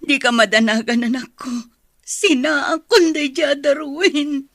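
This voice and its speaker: A woman's voice: sits high, unhurried, fairly bright tone, no echo, clean studio sound. A woman acting in an Ilocano radio drama as a middle-aged, long-suffering mother. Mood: tearful, bitter. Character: long-suffering, battered mother, protective of her daughter.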